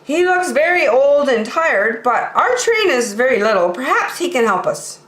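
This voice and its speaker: Storybook toy clown, played by a woman: little, high-pitched, hopeful, eager, in an echoey room. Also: big pitch swings, normal speed. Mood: hopeful, cheering. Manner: bright-spirited, eager, calling out.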